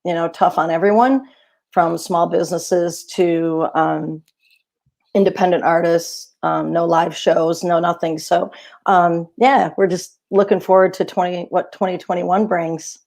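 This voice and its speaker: A woman's voice: fairly low pitch, steady tone, a little slow, gravelly, darkish tone. A middle-aged woman, a singer-songwriter, talking casually in an interview over a video call, chatty and easygoing with many fillers. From not Australia.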